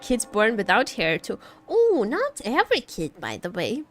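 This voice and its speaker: A woman's voice: on the high side, huge pitch swings, normal speed, soft background music. A woman streaming as a VTuber, chatting casually about a game in a teasing, joking, giggly way. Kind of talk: casual livestream chat reacting to a game.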